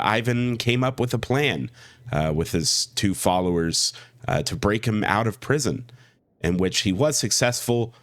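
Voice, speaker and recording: Sick, low-voiced gaming host. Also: male voice, a bit bright. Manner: easygoing, with many "uh" and "um" fillers. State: sick with a cold. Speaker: let's play host. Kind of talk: gameplay commentary.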